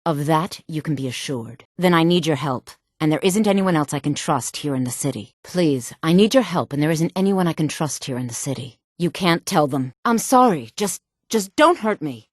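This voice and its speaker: Exiled noblewoman, sultry, low-pitched, imperious and secretive, formal dramatic phrasing, fantasy game dialogue, dry studio sound. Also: animated, normal speed, few pauses, no room sound. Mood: grave, secretive, then fearful, pleading. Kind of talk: fantasy video game voice acting.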